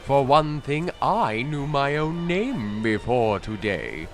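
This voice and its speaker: A man's voice: mid-range voice, very animated, takes it slowly, faint music behind. A man voicing a bossy stuffed owl who is an impatient, superior know-it-all in a comic audio drama. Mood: smug, bossy. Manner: exasperated, superior, commanding.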